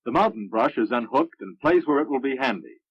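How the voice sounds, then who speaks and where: An American man reading scripted narration for a military instructional film in a measured, instructive manner. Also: mid-range voice, huge pitch swings, creaky, breathy edge, no room sound. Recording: muffled, dry vintage film soundtrack.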